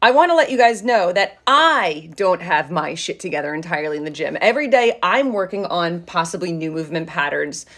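The lively woman you would hear leading a casual livestream from a small office, talking straight into a phone on the table. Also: fairly low pitch, brisk, few pauses. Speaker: live show host and fitness coach. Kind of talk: casual live discussion, reading viewer comments. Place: small office with drop ceiling.

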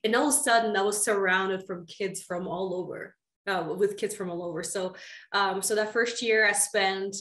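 A woman's voice: mid-range voice, steady tone, moderate pace, fairly bright tone. A young woman from Russia, a guest author, chatting candidly over a video call from a small room. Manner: candid, chatty storytelling with light laughs. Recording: echoey room.

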